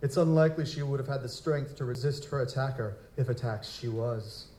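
A man's voice: medium pitch, a little fast, mellow, close to an everyday voice. A man playing a formal, touchy young nobleman, a bereaved fiancé, in an audio drama, with stiff aristocratic diction. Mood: defensive, stiff. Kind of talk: live audio drama acting. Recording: roomy, echoing, noisy live recording.

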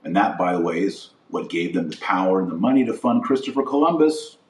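A man delivering a political and religious monologue as a podcast host, emphatic and deliberate, repeating phrases for weight. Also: low voice, lively intonation. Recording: roomy echo, distant microphone.

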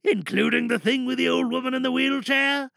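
A performer plays a frantic, self-righteous driver in a comic audio monologue. The voice is high, bright and forceful, swooping wildly between wheedling excuses and pious indignation. It is recorded close and dry. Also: a little fast, creaky, no room sound, exaggerated voice. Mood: defensive. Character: frantic, self-righteous reckless driver lying to a police officer.

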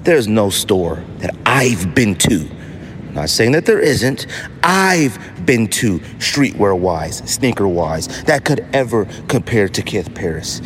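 A middle-aged American man talks casually as a solo podcast host. His voice sits fairly low, and he tells stories in an animated, slangy style with emphatic repetition. There is some noise in the background of the recording. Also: slow. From United States. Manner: animated, slangy storytelling with mock voices for others.

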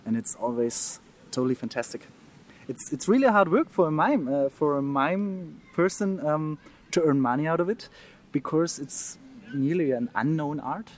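A man's voice: on the high side, expressive, normal speed. German-accented man speaking earnestly. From East Germany, Saxony. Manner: earnest, restarts words, laughs often. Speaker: guest, mime artist. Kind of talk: casual interview.

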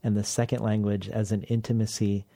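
A man hosting a literary interview program, asking measured, curious questions with occasional fillers. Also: on the low side, flat delivery, gravelly, mellow.

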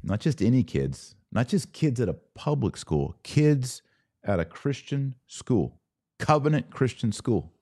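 Man, podcast host, opinionated commentary, highly animated pitch, emphatic pauses, dry close sound. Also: no echo. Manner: emphatic, rhetorical questions, dramatic pauses.

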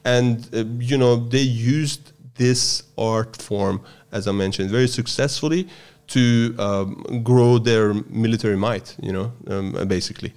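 A man from Iran, a guest on an interview, explaining his practice in a measured way with frequent pauses and fillers. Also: medium pitch, fairly even intonation, a little mellow, clear, resonant tone. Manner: measured and explanatory, with many hesitations. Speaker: guest, Persian strength and yoga teacher. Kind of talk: thoughtful interview answers.

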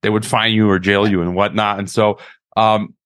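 A man's voice: lowish voice, little pitch movement, normal speed, a bit bright. Stammering male interview host. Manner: stammering, repeats words while searching. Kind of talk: conversational interview.

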